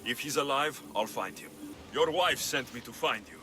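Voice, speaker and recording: A man's voice: medium pitch, lively intonation, moderate pace, close to an everyday voice. A male actor playing a confident, blunt mercenary hero in a video game cutscene, firm and matter-of-fact. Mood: calm, resolute.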